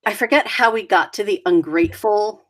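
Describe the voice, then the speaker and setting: A woman's voice: mid-range voice, fairly quick. A woman co-hosting a casual chat in a playful way, joking and teasing. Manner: playful, slips into mock accents. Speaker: co-host.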